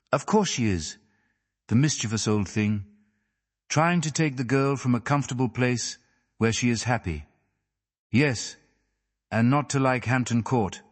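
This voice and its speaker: A man's voice: deep voice, lightly acted. A man voicing a mild, dreamy young husband who reminisces in a wistful, rambling way in an audiobook. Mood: indignant.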